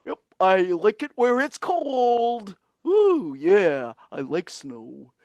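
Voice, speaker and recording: A woman voicing a loud, cheerful, oddball boy penguin puppet who shouts his lines. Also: mid-range voice, very animated, slow pace, dry recording, lo-fi sound. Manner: boisterous, shouting.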